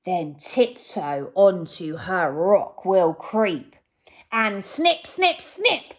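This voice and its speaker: A woman teacher voicing a scheming male robber in a picture book, reading his lines in a sly, conspiratorial way. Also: mid-range voice. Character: scheming adult male robber plotting mischief.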